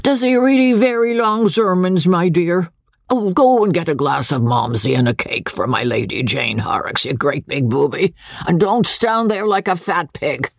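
A man puts on a vulgar old country squire nearly eighty, bawling at servants and leering at guests in a gravelly, raspy voice. His pitch leaps around as he mutters and cackles. The storybook reading is dry and close. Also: animated, moderate pace, no room sound, exaggerated voice. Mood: mocking. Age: older. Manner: coarse, bawling, chuckling, sly asides.

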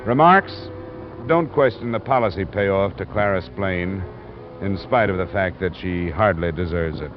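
A very low-pitched American hardboiled male investigator from mid-century radio drama. He is terse, dry and unsentimental. The old recording is muffled, with clear music behind it. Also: a little slow. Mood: brisk reporting. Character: tough, world-weary male insurance investigator, terse and unsentimental. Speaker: lead actor in a radio detective drama. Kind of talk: 1940s-50s American radio acting, hardboiled narration and dialogue.

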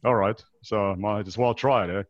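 A man around thirty with a foreign European accent and a fairly low voice. He speaks as an interview guest, explaining things from his own life in a conversational way. The sound is dry and somewhat dull, like an online call. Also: fairly even intonation, moderate pace, no echo. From North Macedonia, raised in Germany. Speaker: podcast guest. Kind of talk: conversational interview, personal account.

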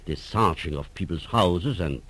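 A suave elderly professor villain in an old-time radio drama, with a low-pitched voice. He is oily and over-polite, drawing out his phrases with a faintly mocking geniality. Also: animated, normal speed, fairly bright tone. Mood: sly mockery. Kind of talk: 1940s radio drama acting.

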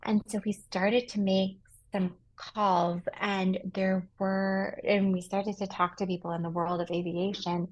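A woman's voice: medium pitch, level tone, unhurried, highs cut off. A woman speaking as a reporter being interviewed, explaining things with emphatic repetition.

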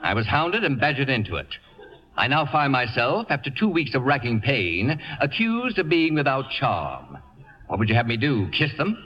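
An actor playing an imperious, insulting celebrity with a pompous, declamatory manner in a vintage radio comedy. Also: male voice, medium pitch, very animated, normal speed, slightly rough. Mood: scornful, indignant. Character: imperious acid-tongued celebrity man, pompous and theatrically insulting. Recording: muffled vintage 1950 radio broadcast.

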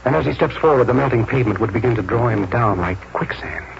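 An actor playing a stubborn, uneasy painter husband, distracted and hesitant, in a 1940s radio drama. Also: male voice, mid-range voice, animated, quick pace, creaky. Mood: musing. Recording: muffled, noisy 1940s radio recording.

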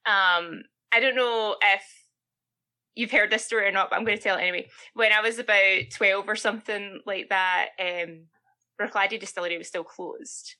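A woman's voice: mid-range voice, steady tone, normal speed, bright, forceful tone. A woman with a Scottish accent, from Scotland, chatting casually as a podcast guest and telling a story, often tagging "right?" onto her sentences. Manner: chatty, tags questions with "right?". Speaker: podcast co-host.

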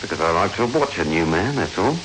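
A menacing male gang boss has a low voice that swings widely with sarcasm and threat. He gives commanding orders in 1960s radio style on a hissy old recording. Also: big pitch swings, fairly quick. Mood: sly. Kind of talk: 1960s radio suspense acting.

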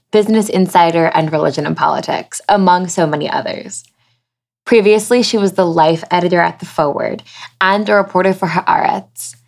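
A woman's voice: fairly low pitch, vocal fry. A woman hosting an interview, upbeat and enthusiastic as she introduces her guest and asks questions. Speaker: interview host.

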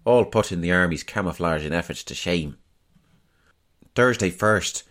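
An actor playing a young British soldier reading from his wartime diary in a measured, reflective way. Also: low voice, lightly acted.

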